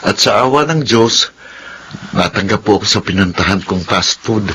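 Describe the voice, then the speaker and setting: A man's voice: on the low side, expressive, moderate pace, noisy background, natural voice. A man acting an earnest, apologetic young son and older brother in a Filipino radio drama.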